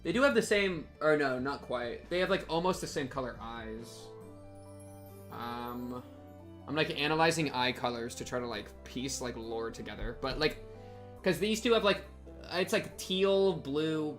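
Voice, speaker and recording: A man's voice: medium pitch, expressive, measured pace, fairly bright tone. A young man giving casual, slangy, goofy commentary on a game and reading it aloud. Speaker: game streamer. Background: game music.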